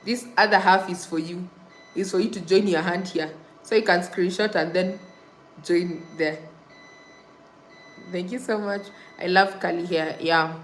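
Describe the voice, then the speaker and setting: A woman's voice: fairly low pitch, fairly even intonation, measured pace, long pauses, a little hiss or hum. A young woman from Kenya hosting a casual livestream, reading viewer comments and repeating thanks and subscribe reminders. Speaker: livestream host. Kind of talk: casual live-stream chat with viewers.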